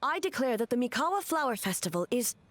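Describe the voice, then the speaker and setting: A woman's voice: a little high, sing-song, fast talker, no room sound. A woman playing a dignified, resolute commander in a video game, speaking formally and with authority. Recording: music behind the voice.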